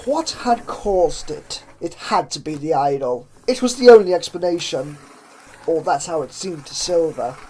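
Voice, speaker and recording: A woman narrates a horror story in a theatrical, suspenseful way, with drawn-out phrases and hushed drops. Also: low voice, animated, poor audio. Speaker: fan fiction narrator. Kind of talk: dramatic horror story reading. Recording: clear background noise with ambience.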